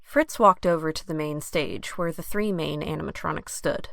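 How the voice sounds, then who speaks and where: A woman serves as narrator of an audio drama, reading scripted prose. Her voice sits a little low, recorded dry and close. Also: normal speed, creaky, a bit bright, no echo.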